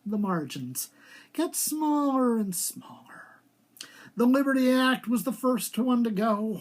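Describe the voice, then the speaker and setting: A male author at a live reading. He has a theatrical storyteller's delivery and places clear emphasis on his phrases. The voice is that of a writer presenting his own fiction aloud. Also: sits high. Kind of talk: live dramatic literary reading.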